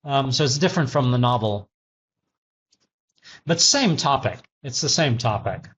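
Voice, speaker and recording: A man's voice: mid-range voice, animated, measured pace, a bit bright, husky. A man in his fifties, a former tech CEO now an executive coach, answering interview questions in a thoughtful, teacherly way with frequent restarts. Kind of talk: interview answers, reflective storytelling. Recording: slightly dull lo-fi audio.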